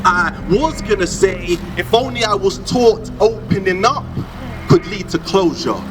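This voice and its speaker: A man performing spoken word poetry in a rhythmic, expressive, conversational delivery. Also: sits high, measured pace. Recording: echoey, distant, loud music behind.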